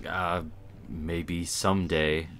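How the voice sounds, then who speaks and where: Man, deep voice, podcast panelist, informal chat. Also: level tone, drawn-out words, over a noisy crowd. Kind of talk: casual chat.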